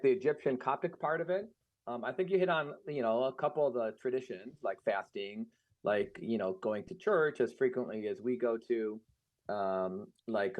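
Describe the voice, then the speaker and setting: A middle-aged man raised in the United States, talking casually and thoughtfully with frequent fillers. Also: mid-range voice. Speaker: guest. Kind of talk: casual conversation. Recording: muffled lo-fi sound.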